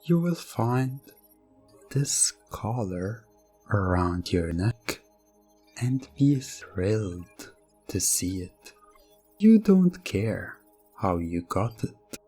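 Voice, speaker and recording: A man delivering scripted hypnosis ASMR narration in a deliberate, commanding manner. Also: lowish voice, very animated, warm tone, breathy edge, soft background music. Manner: slow, deliberate, commanding second-person delivery. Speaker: hypnosis ASMR role-play performer.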